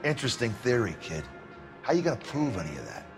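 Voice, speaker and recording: A brash, slippery security chief in a game cutscene. He speaks at a middling pitch with cocky, slangy phrasing over clearly audible music. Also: big pitch swings, fast. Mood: cocky, evasive. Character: brash, slippery male head of aircraft company security. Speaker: head of security. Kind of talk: video game cutscene acting.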